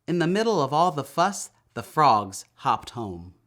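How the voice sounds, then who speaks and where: A man reading a children's story aloud in an expressive, deliberate storytelling manner. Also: on the high side. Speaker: storybook reader.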